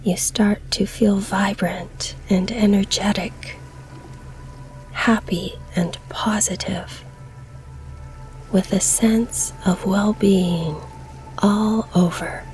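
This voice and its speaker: A woman leading a scripted guided meditation in a soft, breathy, soothing delivery with long pauses. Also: mid-range voice, low-quality recording. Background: calm background music.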